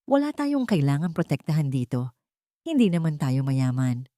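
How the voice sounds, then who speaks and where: A woman's voice: low voice, big pitch swings, moderate pace, mellow, dry recording, studio quality. A woman voicing a poor young mother in a radio drama, questioning and emotional, suspicious and defiant. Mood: puzzled. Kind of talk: Filipino radio drama acting.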